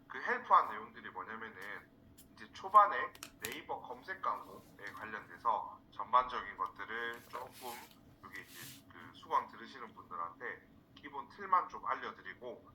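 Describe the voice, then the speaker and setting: A man from South Korea teaching a live online class in an informal, halting way, repeating words for emphasis. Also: on the low side, animated, bright, forceful tone, a little hiss or hum, low-quality recording. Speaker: instructor, marketing trainer. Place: indoors, online screen-share session.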